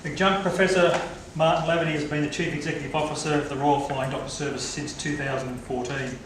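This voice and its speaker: A man's voice: fairly high pitch, steady tone, fast. A man moderating a forum, chatty, with light asides to the audience. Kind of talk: public speaking at a forum. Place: lecture hall. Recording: echoey hall from far off, noisy.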